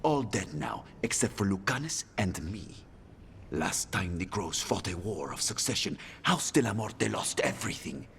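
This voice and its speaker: A man's voice: deep voice, sing-song, normal speed, creaky, fairly bright tone, a little hiss or hum, heavily acted. A man playing a cynical assassin from a crime family in a video game, with dramatic, pointed delivery. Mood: bitter warning. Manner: dramatic, pointed, needling questions. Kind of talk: video game character acting.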